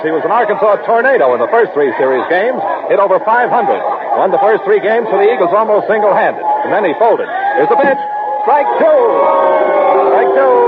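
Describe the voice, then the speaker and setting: An actor playing a folksy, excitable baseball announcer calling a game in 1940s radio style. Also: male voice, high voice, heavy background noise. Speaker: baseball commentator. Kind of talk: 1940s radio play-by-play commentary. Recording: old tinny radio, loud crowd roar.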